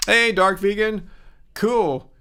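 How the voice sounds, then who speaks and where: High-voiced chatty man livestreaming. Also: steady tone, slow, sharp tone, clear, resonant tone. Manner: chatty, rambling, many fillers and restarts. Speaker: livestream host answering chat. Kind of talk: casual livestream chat reading comments.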